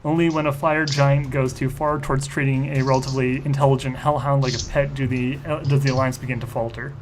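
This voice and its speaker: A man's voice: medium pitch, little pitch movement, fast. A man plays a tabletop roleplaying game in casual conversation. His delivery halts and stammers slightly as he explains or reads aloud. There is faint noise on the recording. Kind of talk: casual group roleplaying chat.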